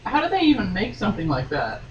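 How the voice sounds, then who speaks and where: A woman's voice: mid-range voice, wildly swooping pitch, fast, highs cut off. A woman doing a dramatic reading with playful, joking asides, recorded in a room at some distance from the mic. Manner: theatrical, joking. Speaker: guest reader. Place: sewing room, indoors. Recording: echoey room, distant mic, clear noise.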